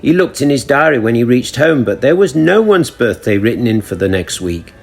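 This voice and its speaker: A man narrating a children's storybook in an expressive, deliberate storytelling manner. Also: mid-range voice, vocal fry, breathy edge.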